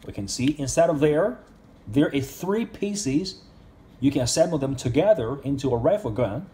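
A man's voice: a little high, lively intonation, normal speed, mellow. A man reviewing an action figure in casual speech, with simple, non-native English phrasing. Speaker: toy reviewer.

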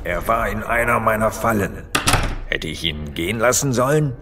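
An actor playing a devout, courteous underground trapper creature in a fantasy audio drama, speaking formally. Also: male voice, fairly low pitch, expressive, normal speed, background noise. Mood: solemn, courteous.

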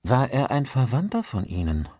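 A man's voice: medium pitch, wildly swooping pitch, normal speed, darkish tone, no room sound, pitched above the performer's own voice. A man voicing a cultivated, friendly village pastor in his late forties for an audiobook. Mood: polite, friendly.

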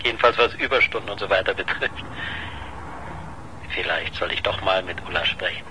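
An actor playing a thoughtful, easygoing friend of a detective in a radio crime drama. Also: male voice, deep voice, a little fast, background noise, natural voice. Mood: pensive.

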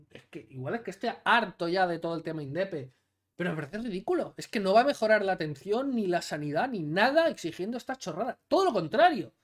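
A man in his thirties from Catalonia giving indignant, emphatic commentary on clips, seated in a small room. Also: on the high side. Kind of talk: opinionated commentary video. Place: small room with blue LED lights, indoors.

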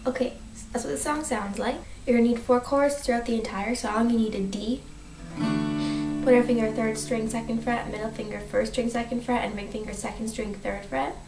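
Young woman w a cold giving a soft guitar lesson, high-ish voice, echoey bedroom. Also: steady tone, a little fast. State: sick, sniffling. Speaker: guitar teacher. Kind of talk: casual guitar tutorial. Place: small bedroom.

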